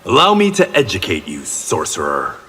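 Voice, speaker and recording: An actor playing a stern, hostile warrior defending his realm in a video game, blunt and forceful with a rough, raspy voice. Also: sits low, huge pitch swings, normal speed, slight background noise, heavily acted. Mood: grim contempt. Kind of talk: video game combat banter. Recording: echoing hall reverb.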